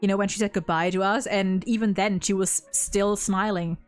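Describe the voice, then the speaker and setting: A woman's voice: mid-range voice, fairly even intonation, moderate pace, clear, resonant tone, faint music behind. A woman chatting casually and effusively as a host discussing a game's story, with plenty of enthusiasm. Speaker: video host.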